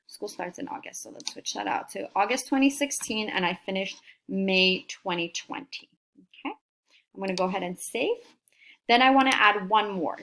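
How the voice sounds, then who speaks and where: A woman's voice: mid-range voice, fairly bright tone. Woman, career coach, upbeat, encouraging, step-by-step tutorial, faint background noise. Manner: upbeat, encouraging, talks while clicking through steps. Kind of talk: screen-recorded tutorial.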